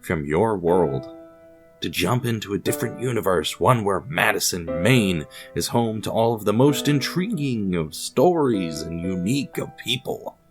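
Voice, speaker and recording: Low-voiced man narrating a wry, ominous story. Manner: wry, ominous, addresses the listener formally. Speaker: narrator of a fiction podcast.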